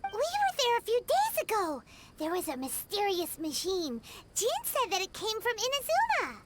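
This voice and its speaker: A woman voicing a tiny, excitable, childlike female fairy companion with a squeaky voice in an anime game. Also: normal speed, noisy background, full character voice. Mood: curious. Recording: loud music under voice.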